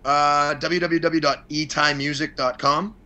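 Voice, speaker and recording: A man with a bright, forceful voice talks as a guest in a casual interview. He rambles freely, states his opinions bluntly and lets mild swearing slip in. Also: on the high side, steady tone, measured pace. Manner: blunt, opinionated, mildly profane. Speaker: podcast guest, fight promoter.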